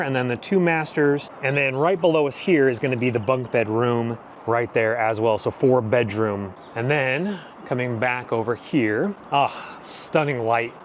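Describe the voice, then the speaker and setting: A man's voice: mid-range voice, slight background noise. A man in his forties narrating a casual vlog, chatty and enthusiastic as he tours a wooden chalet on a handheld camera. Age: 40s. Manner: enthusiastic, chatty, touring a home. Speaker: vlogger.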